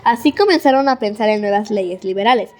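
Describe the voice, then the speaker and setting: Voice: medium pitch, animated, fairly quick, a little mellow. A child narrator reading a scripted story for a school audio drama.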